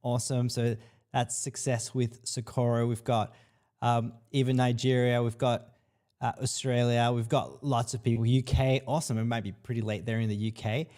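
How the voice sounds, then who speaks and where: A man in his thirties from El Salvador hosting a casual live Q&A stream, chatty and upbeat, often pausing on fillers as he reads viewer comments aloud. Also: mid-range voice, level tone, darkish tone. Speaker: livestream host.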